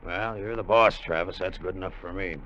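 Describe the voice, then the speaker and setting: Low, hard-boiled schemer, old radio sound. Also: male voice, steady tone, fairly quick, fairly bright tone. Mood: casual, guarded. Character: scheming, brooding treasure-salvage crewman, a hard-boiled narrator. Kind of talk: 1950s radio drama acting and narration. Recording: dull vintage 1950 radio recording, music behind.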